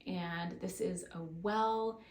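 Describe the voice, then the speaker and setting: A woman's voice: fairly low pitch, steady tone, slow. A woman raised in Japan talks casually as a photographer in her studio, musing aloud in an informal, chatty vlog style. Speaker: photographer presenting a studio video. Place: indoor studio. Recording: roomy, distant mic, music behind.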